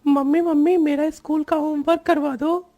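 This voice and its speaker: A woman's voice: sits high, fairly even intonation, moderate pace, warm tone, no room sound, dull audio, faint music behind. A woman voicing an eager, whiny little schoolboy in a cartoon story. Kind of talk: cartoon voice acting.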